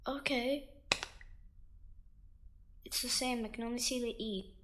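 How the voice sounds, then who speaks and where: A polite, trusting young boy in an audio drama, acted by a woman. The voice is high and childlike, timid and worried. There is faint noise and clicking in the recording. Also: steady tone, a little slow, natural voice.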